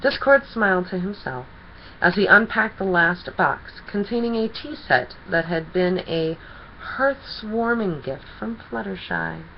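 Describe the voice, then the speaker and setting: A woman reading a children's story aloud as an expressive storyteller. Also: medium pitch, a little slow, vocal fry, lo-fi sound, slight background noise.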